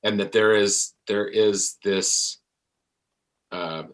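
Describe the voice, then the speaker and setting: A middle-aged man, an actor talking as an interview guest, reflective and halting as he searches for words. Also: on the low side, fairly even intonation.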